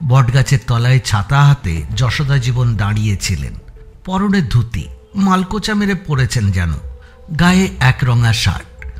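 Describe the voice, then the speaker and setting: Lively male narrator of a suspense story. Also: medium pitch.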